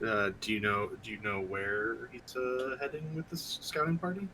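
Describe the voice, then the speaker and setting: A man playing a tabletop game, speaking in character with a halting, stammering delivery. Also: medium pitch, steady tone, sharp tone, slight background noise, poor audio. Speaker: player. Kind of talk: in-character role-play.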